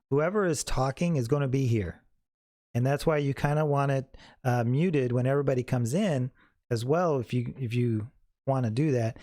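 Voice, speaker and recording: Casual man with a mellow, dry sound. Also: medium pitch, no room sound. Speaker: host of a how-to tutorial. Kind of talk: casual, unscripted software tutorial.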